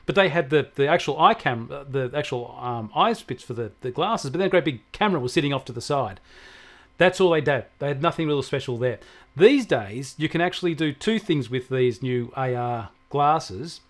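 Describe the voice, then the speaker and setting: A man's voice: medium pitch, expressive, normal speed. An Australian man explaining technology in a conversational way, using everyday examples. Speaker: technology expert guest answering questions.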